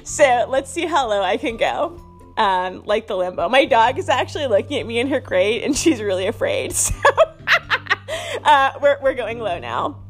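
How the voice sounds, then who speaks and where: A woman's voice: fairly high pitch, animated, moderate pace, soft background music. A woman hosting a solo podcast in a casual, rambling stream of consciousness, playful and self-deprecating. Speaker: podcast host.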